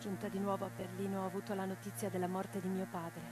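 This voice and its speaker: A woman's voice: medium pitch, little pitch movement, fast, music in the background, close to an everyday voice. An actress playing an impassioned, reflective female socialist revolutionary in a theatrical radio drama monologue, with a dramatic, declamatory delivery. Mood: grieving, subdued. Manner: declamatory, dramatic, swinging from hushed to forceful.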